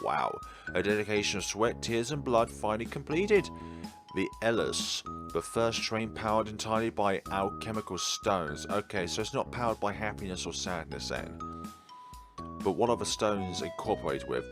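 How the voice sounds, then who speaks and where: A man hosting a gaming video, giving rambling commentary and reading game text aloud. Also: low voice. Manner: rambling, musing asides.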